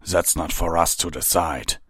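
Stern stallion commander for a storybook, voiced by a man: low, measured, authoritative, dry studio sound. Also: fairly even intonation, fairly bright tone, husky, no room sound, exaggerated voice. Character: stern, calm, commanding male pony soldier.

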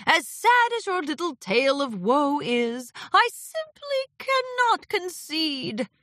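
A woman performs a theatrical male chaos creature from a children's storybook. The voice is high and bright, with big dramatic swings. He sounds haughty and wounded, savoring every florid phrase. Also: big pitch swings, unhurried, dry recording, heavily acted. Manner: haughty, melodramatic, florid wording.

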